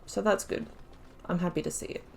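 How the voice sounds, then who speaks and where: A woman's voice: fairly low pitch, brisk, gravelly, darkish tone, slight background noise. A woman hosting a video game playthrough, talking in a chatty, rambling, self-deprecating and jokey way as she comments on her play. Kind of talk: casual gameplay commentary.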